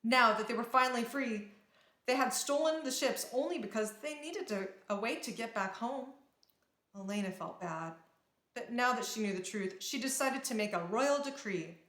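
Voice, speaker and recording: A woman narrates a children's storybook with dramatic expression. Her voice is fairly high and very bright, with crisp storytelling energy. The recording is noticeably roomy and echoing. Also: lively intonation, moderate pace. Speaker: storybook reader.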